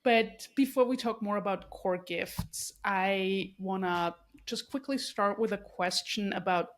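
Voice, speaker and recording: A woman's voice: medium pitch, measured pace. Austrian-born woman hosting a podcast. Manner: warm, effusive, many restarts and fillers. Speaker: podcast host. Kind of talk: casual interview conversation.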